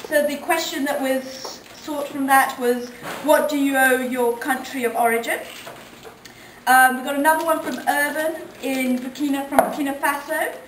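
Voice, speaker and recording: A woman's voice: high-pitched, fairly even intonation, moderate pace. A woman editing a radio show, leading an editorial meeting and asking questions with frequent ums. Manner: leads discussion, asks questions, hesitant ums. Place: conference meeting room. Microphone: distant room mic. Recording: echoey room from far off, faint noise.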